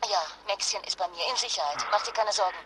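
A woman's voice: medium pitch, quick pace, lightly acted. Actress as protective mother on noisy phone line. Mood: urgent, reassuring. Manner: earnest, insistent, reassuring. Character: protective mother fleeing a disaster with her son. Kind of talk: modern radio drama acting. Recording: clearly noisy phone connection.

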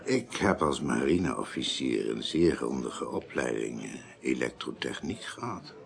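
Embittered ex-naval politician, extremely low voice, vocal fry, hesitant, aggrieved, near breakdown, radio drama. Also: male voice, lively intonation, measured pace, full character voice. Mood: pompous, insistent. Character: embittered, washed-up male politician and former naval officer, close to breakdown. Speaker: actor in a radio crime drama. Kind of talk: 1980s Dutch radio play acting.

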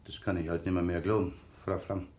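A man's voice: deep voice, level tone, brisk, darkish tone, natural voice. An actor in a German radio drama playing a sombre, deferential man, doubting and downcast. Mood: downcast, formal.

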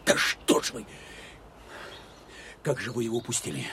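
An actor playing a tired, sharp-eyed Soviet field operative in an audio drama, speaking in blunt, probing questions. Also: male voice, medium pitch, very animated, moderate pace, a little hiss or hum. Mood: reproachful. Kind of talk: Russian audio drama acting.

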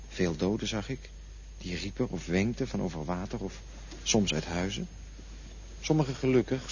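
An actor playing a bewildered man who wakes in a coffin and haltingly describes what he saw. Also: fairly low pitch, a little mellow, close to an everyday voice. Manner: dreamy, halting, searching for words. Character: bewildered man waking in a coffin after dying. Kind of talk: radio drama acting. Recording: dull lo-fi radio play recording, faint hiss.

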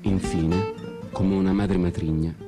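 An actor playing a disenchanted solitary traveller, narrating a literary radio-theatre monologue in a measured, introspective and ironic way, with guitar behind him. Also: male voice, sits low, steady tone. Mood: reflective. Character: disenchanted male traveller wandering a foreign city alone.

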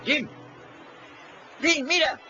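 Huck, a man shouting as an alarmed boy. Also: extremely high pitch, extremely slow, pitched above the performer's own voice, full character voice. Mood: urgent. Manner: shouting urgently. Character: boy on a river raft, urgent and alarmed. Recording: dull audio with music and commotion.

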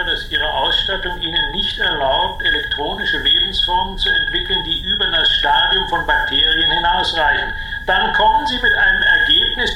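Piercing, domineering official. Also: male voice, fairly high pitch, roomy sound, a little hiss or hum, poor audio. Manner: sharp, commanding, clipped authority. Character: domineering male official, impatient and menacing. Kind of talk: German radio drama acting.

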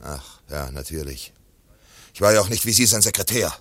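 A man's voice: low-pitched, expressive, normal speed, a bit bright, slightly rough, no room sound, heavily acted. A man acting in a German radio play as a musing pursuer with reluctant sympathy for his quarry, in an understated manner. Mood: musing, subdued.

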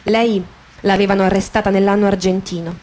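A woman performs a dramatised memoir as radio theatre, her pitch in the middle range. She speaks in short, measured phrases with deliberate pauses, over musical accompaniment. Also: level tone, darkish tone, a little hiss or hum. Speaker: actress reading a dramatised memoir. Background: guitar music behind the voice.

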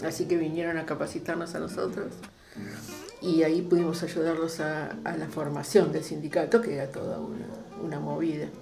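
A woman's voice: deep voice, flat delivery, a little fast, gravelly. An older Argentine woman reminiscing conversationally in an interview, often repeating herself and checking with the listener. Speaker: interviewee, former radio drama maker. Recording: guitar music under the voice. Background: guitar music.

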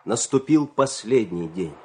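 A man narrating a fairy tale in a measured, expressive, theatrical storytelling style. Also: fairly low pitch, background noise. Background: music.

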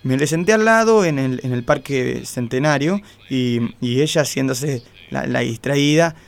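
A man's voice: mid-range voice, gravelly, close to an everyday voice. Actor as a stuttering, insecure Argentine man. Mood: defensive, flustered. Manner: heavy nervous stutter, halting and repetitive. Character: insecure, lovelorn, short homely man, a detective's client. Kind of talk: comic radio play acting.

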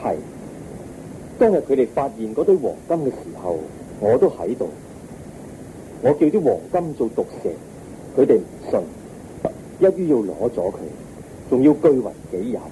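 An actor playing a calm, compassionate holy teacher, speaking in measured, solemn Hong Kong Cantonese in a radio drama. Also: male voice, mid-range voice, slight background noise, close to an everyday voice, poor audio. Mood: calm, explaining.

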